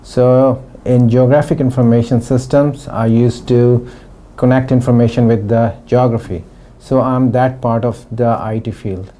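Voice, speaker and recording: A middle-aged man from Pakistan giving a testimonial interview about his training, talking in a halting way with frequent restarts. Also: mid-range voice, steady tone, warm tone. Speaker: former student giving a testimonial.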